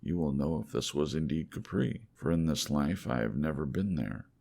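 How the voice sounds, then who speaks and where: Man playing a deep, gravelly, haunted dreamer. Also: animated, normal speed, warm tone, heavily acted. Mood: wistful, unsure. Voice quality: gravelly, creaky.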